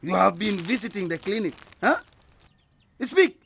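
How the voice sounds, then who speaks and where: An actor in a radio drama playing an angry, boastful, blustering village husband who stammers when worked up. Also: male voice, high voice, lively intonation, a little slow, vocal fry, fairly bright tone, full character voice. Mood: furious, threatening.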